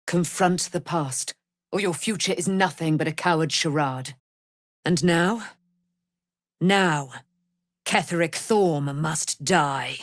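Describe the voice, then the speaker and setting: A woman's voice: sits low, takes it slowly, pauses often, no room sound, studio quality. A woman playing a proud, vengeful and noble immortal warrior in a fantasy video game, declaiming in a grand, formal, archaic way. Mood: resolute, stern.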